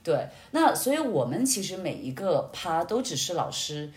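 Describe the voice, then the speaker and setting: A woman sharing experiences in casual conversation, explaining things in a reflective, expressive way. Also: on the low side, moderate pace. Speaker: podcast guest, amateur acting student.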